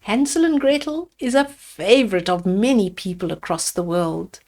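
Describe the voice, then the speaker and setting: A woman storyteller reading a fairy tale aloud to children, deliberately, with simple asides to her young listeners. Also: medium pitch, a little mellow. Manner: deliberate, addresses children with simple questions and comments.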